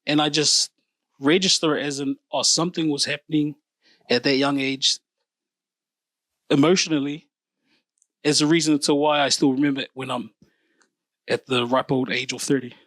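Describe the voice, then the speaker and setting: A man, a podcast co-host answering questions thoughtfully, pausing and correcting himself. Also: medium pitch, steady tone, fairly bright tone, no room sound. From New Zealand. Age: 30s. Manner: thoughtful, hesitant, self-correcting. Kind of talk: reflective interview answers.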